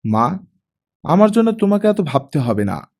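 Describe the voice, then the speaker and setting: A man's voice: high voice, very animated, unhurried, a little mellow, full character voice. A man voicing a firm, defensive, independent young widowed mother in an audiobook story. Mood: irritated. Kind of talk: audiobook character reading.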